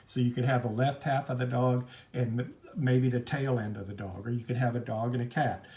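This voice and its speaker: A man's voice: medium pitch, fairly even intonation, a little fast, dark tone. A man teaching art in an informal, chatty, rambling hands-on demonstration, easily drifting into asides. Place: at home, indoors. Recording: noisy home recording, clicks.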